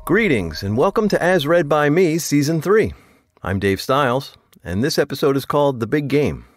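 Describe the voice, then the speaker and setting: A man's voice: on the high side, very animated, moderate pace, no room sound, faint music behind. A man hosting a podcast, reading a scripted introduction to the show. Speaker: podcast host.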